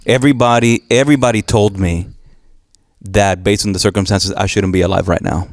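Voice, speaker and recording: A man's voice: fairly low pitch, steady tone, brisk, no echo, clean studio sound. A man from Puerto Rico with a Spanish accent, a guest telling stories in an earnest, encouraging way. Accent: Puerto Rican Spanish. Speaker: guest speaker. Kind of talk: motivational storytelling.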